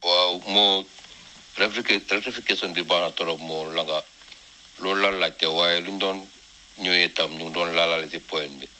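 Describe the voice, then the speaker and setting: A man with a very deep voice and a strikingly bright, hard edge speaks casually to a group. A little noise sits behind him. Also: fairly even intonation, normal speed, rough recording. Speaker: discussion participant. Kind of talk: casual spoken opinion on a topic.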